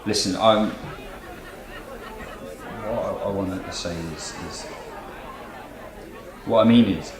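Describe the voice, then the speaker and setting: A man playing an awkward, flustered character in a halting, tongue-tied stage monologue. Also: fairly low pitch, fairly even intonation, crowd noise. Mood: nervous, earnest. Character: awkward, flustered man addressing a new acquaintance. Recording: echoey room with audience sounds.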